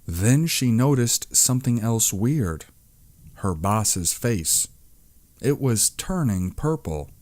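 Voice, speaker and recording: A man's voice: mid-range voice, very animated, slow pace, a little mellow, dry recording. A man reading an astrology book aloud as scripted narration. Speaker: audiobook reader.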